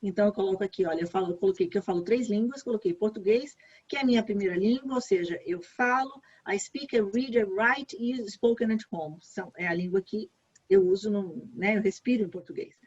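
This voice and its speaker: A Brazilian woman walks through an online application form in a relaxed, chatty way, repeating the points she wants to stress. Her voice is a little husky, and the video call audio is dull and lo-fi. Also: mid-range voice, fairly quick. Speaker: education advisor. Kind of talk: online workshop walkthrough.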